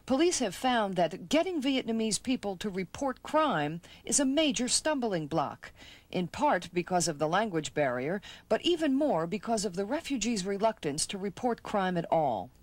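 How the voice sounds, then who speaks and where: A woman in her thirties, a reporter, narrating a documentary script and asking interview questions in a measured, clear way. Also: medium pitch, big pitch swings, no echo.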